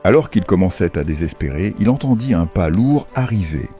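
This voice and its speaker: A man's voice: low voice, expressive, a little fast, warm tone. A man narrating a scripted children's fairy tale as storyteller. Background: faint music.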